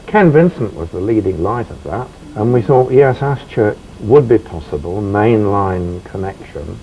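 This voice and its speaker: Older male interviewee, fairly low voice, reminiscing, lo-fi sound. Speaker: railway preservation trust member interviewee. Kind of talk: spoken recollection in an interview. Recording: dull, lo-fi, faint noise.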